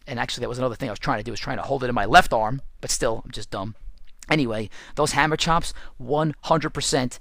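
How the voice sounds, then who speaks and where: Raspy-voiced man, chatty and dry. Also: mid-range voice, a bit bright, no room sound. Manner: chatty, self-deprecating, quick asides. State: raspy, losing his voice. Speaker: podcast host and football coach. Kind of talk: casual solo podcast with ad read.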